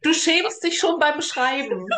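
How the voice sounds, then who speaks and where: Echoey, higher-voiced woman interviewer. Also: lively intonation, unhurried, fairly bright tone. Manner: chatty, laughs often. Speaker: interviewer and fellow author. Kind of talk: casual interview chat. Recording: echoey, roomy sound.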